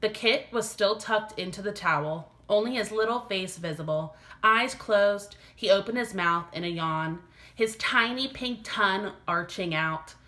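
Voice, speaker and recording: Bright-voiced woman reading a children's story. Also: mid-range voice, measured pace. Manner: careful, expressive phrasing for children. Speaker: elementary teacher reading aloud. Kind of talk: expressive scripted storybook narration.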